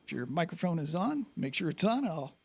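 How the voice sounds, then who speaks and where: High-voiced upbeat male host. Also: very animated, brisk, gravelly, darkish tone, a little hiss or hum. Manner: upbeat, announcing. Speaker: event host. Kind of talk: hosting a public talk.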